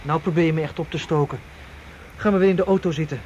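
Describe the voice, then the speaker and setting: Actor as brisk male getaway planner, muffled noisy recording. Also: on the high side, a little mellow, natural voice. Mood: impatient, insistent. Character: tense, self-assured male getaway planner waiting at night. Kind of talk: naturalistic radio drama acting. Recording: dull, noisy radio drama recording.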